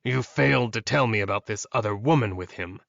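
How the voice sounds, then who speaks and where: A man's voice: lowish voice, brisk, gravelly, fairly bright tone, dry recording, highs cut off. A man voicing a proud, wary male werewolf in an audiobook, muttering and scornful. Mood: scornful, distrustful. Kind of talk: audiobook character acting.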